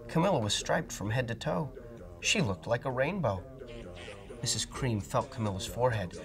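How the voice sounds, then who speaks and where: Man, storybook reader, lively, expressive, soft music underneath. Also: mid-range voice, moderate pace. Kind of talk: children's read-aloud narration.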